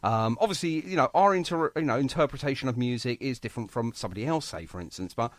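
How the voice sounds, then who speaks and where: A man's voice: mid-range voice, animated, quick pace, no echo. A man hosting a radio music interview in a chatty, effusive and complimentary way. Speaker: radio show host.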